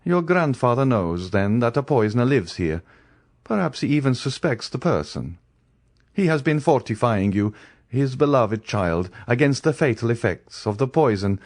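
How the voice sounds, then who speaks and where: Man doing a calm serious count, fatherly, slow solemn warnings. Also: mid-range voice, no echo, studio quality, pitched above the performer's own voice, natural voice. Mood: grave. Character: grave, calm nobleman, protective and fatherly.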